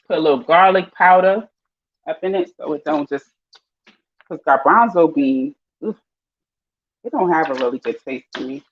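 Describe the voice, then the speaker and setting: Panamanian lady cooking and chatting live, slangy, gets loud and squeaky when hyped, muffled sound. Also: moderate pace, pauses often. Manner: lively, chatty, slangy, swings high when excited. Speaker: vlogger. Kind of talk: casual live-stream chat while cooking. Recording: dull lo-fi audio.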